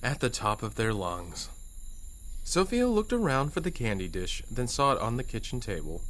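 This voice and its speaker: A man narrating a scripted first-person horror story in a measured storyteller's delivery. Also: mid-range voice, very animated, a bit bright.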